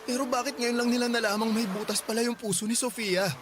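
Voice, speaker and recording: A man's voice: extremely high pitch, fairly even intonation, fast talker, noisy background. A man playing a worried, insistent young husband and father in a Tagalog radio drama, anxious and urgent. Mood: agitated. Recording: music behind the voice.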